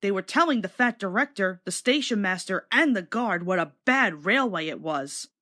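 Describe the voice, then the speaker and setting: A woman narrating a children's storybook aloud in an expressive, scripted read-along style. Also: medium pitch, moderate pace.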